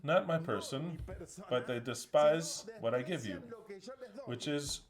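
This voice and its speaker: Man, argentinian accent, preacher, emphatic sermon, mid-pitched voice, call-and-repeat drilling. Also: expressive, takes it slowly, a crowd in the background. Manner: emphatic, swings between loud stresses and quiet asides; drills the audience to repeat after him.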